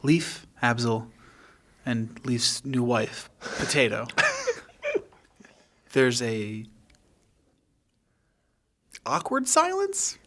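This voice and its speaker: A man's voice: mid-range voice, big pitch swings, drawn-out words, pauses often, fairly bright tone. A man narrating a tabletop game scene in a casual, hesitant and understated way.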